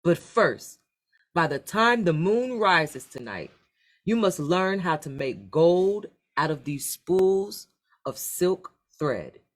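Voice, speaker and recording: Stern storybook village chief, played by a woman, solemn and measured, formal decrees with animated pitch. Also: exaggerated voice. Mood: solemn, commanding.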